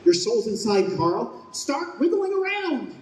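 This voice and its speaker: An actor playing a reassuring, matter-of-fact guardian angel in an old-time radio play. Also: male voice, extremely high pitch, big pitch swings, slow, darkish tone, slight background noise, full character voice. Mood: matter-of-fact explaining. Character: Donald, calm knowing guardian angel guide, male. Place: live performance in a convention room. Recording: echoey hall, far from mic.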